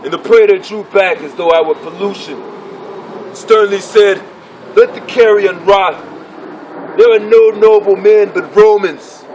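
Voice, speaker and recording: A man performing a theatrical monologue as a proud, bitter gladiator chief, in formal declamatory speech with deliberate pauses. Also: high-pitched, a little hiss or hum, close to an everyday voice, poor audio. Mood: bitter, anguished.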